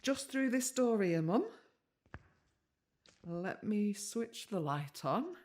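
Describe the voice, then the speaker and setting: An actress playing a caring, sentimental middle-aged English daughter in a naturalistic audio drama, tender and conversational. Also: female voice, mid-range voice, lively intonation, takes it slowly, a little mellow.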